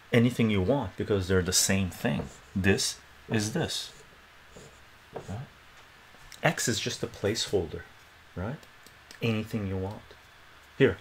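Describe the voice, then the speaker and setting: Gravelly man teaching at a whiteboard. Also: on the low side, a little hiss or hum. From Iran. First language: Armenian. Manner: chatty, thinking aloud and asking "right?" often. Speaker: math tutor. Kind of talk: casual live-stream math lesson. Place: small home room with a whiteboard.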